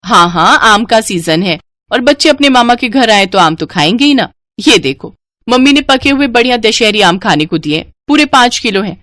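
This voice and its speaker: A woman voicing a sharp-tongued, sarcastic sister-in-law in a cartoon. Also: on the high side, lively intonation, normal speed, no room sound, clean studio sound. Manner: taunting, bossy.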